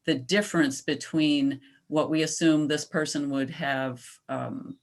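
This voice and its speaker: A woman presenting a climate proposal at a town meeting and answering questions in a measured, explanatory way. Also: low voice, steady tone. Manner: measured, explanatory, slows down on key points. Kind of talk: public comment at a town meeting.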